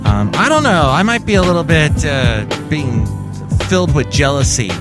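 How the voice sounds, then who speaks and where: A man from California talks through his own podcast in a loose, rambling way, drifting into jokey asides. His voice sits a little high for a man. A loud music bed plays underneath him the whole time. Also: sing-song, moderate pace. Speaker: podcast host. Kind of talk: casual solo podcast monologue.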